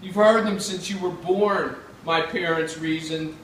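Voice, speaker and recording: An American man from Pittsburgh giving a measured, dramatic live reading of his own literary writing. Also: high voice, steady tone. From Pittsburgh, United States. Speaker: writer reading own work. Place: indoor reading event. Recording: echoey room, far mic, faint noise.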